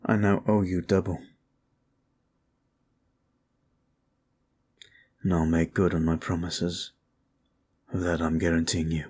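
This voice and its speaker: A man voicing a soft-spoken, earnest vampire. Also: low-pitched, flat delivery, normal speed, husky. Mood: grateful. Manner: earnest, formal and quiet. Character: devoted young male vampire, solemn and indebted. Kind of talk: ASMR roleplay audio.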